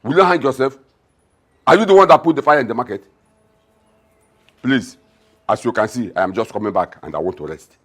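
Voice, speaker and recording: A man's voice: mid-range voice, expressive, a little fast, pauses often, fairly bright tone, husky. An actor playing a mocking, bossy father, sarcastic and stammering when worked up. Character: scornful middle-aged father, mocking, bossy.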